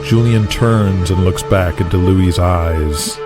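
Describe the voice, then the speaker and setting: A man's voice: deep voice, slow pace, loud music behind the voice. A man reading scripted, dramatic narration as the narrator of an audio drama.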